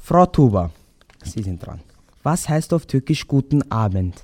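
A man's voice: medium pitch, sing-song, a little slow, dark tone. A sixteen-year-old boy from Vienna hosting a school radio interview, reading out formal introductions and questions. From Vienna, Austria. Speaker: student radio host.

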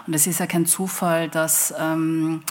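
A woman author talks in a panel discussion. Her voice sits fairly low and stays very flat in melody. She feels her way through sentences, often pausing to find the word. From Austria. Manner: hesitant, searching for words.

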